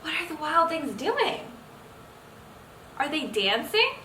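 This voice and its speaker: A young woman reads a picture book to children in a fairly high, bright voice. She is expressive and keeps drawing listeners in with questions. The recording sounds echoey, with the mic some way off. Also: slow pace. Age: young adult. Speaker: medical student reading a picture book to children. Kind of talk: children's read-aloud storytelling. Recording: roomy echo, distant mic, faint noise.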